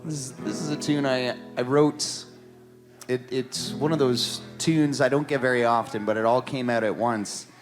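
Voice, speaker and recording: A man from the Ottawa Valley speaks from the stage of a small live venue as host of a songwriters' circle. His voice sits at a middle pitch, and his talk is casual and reflective, like storytelling between songs. Guitar music is clearly heard behind him. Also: steady tone, moderate pace. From Ottawa Valley, Canada.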